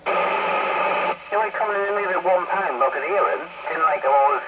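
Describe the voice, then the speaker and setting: A man's voice: a little high, lively intonation, moderate pace. A man chatting casually over CB radio. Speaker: amateur CB radio operator. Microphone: power mic on CB radio. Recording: muffled CB radio with loud static.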